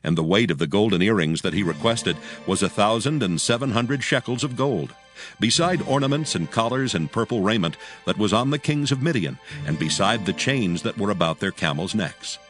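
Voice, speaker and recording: Guy w a deepish voice doing dramatic bible narration, solemn, lively up n down, music behind. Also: moderate pace. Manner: solemn, archaic storytelling delivery. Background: clear background music.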